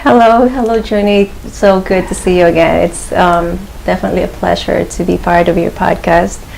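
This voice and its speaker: A woman, recounting her childhood as an interview guest, hesitant with many pauses and restarts. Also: fairly low pitch, fairly even intonation, gravelly, a little mellow, a little hiss or hum, poor audio. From Philippines. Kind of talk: conversational interview storytelling.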